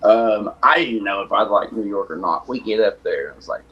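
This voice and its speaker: A man with a strong Tennessee accent, telling relaxed, rambling stories in a casual podcast chat. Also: on the low side, a little fast, muffled recording. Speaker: guest.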